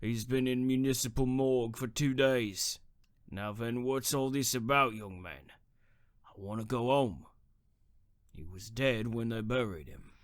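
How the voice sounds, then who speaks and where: Guy doing a gruff graveyard caretaker, scolding and colloquial, horror radio play, close dry sound. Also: medium pitch, measured pace, dry recording, close to an everyday voice. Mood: suspicious, impatient. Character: gruff, suspicious male cemetery caretaker. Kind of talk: audio horror drama acting.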